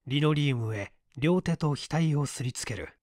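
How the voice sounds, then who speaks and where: A man reading a literary story as dramatic narration in a measured, deliberate way. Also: on the high side, lively intonation, a little mellow, no room sound, studio quality. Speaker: story reader.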